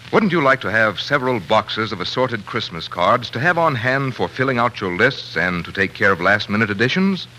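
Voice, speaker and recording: Male 1940s radio pitchman: emphatic and polished, very expressive intonation, crisp forward tone, old slightly noisy broadcast. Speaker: commercial announcer. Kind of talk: scripted 1940s radio advertisement.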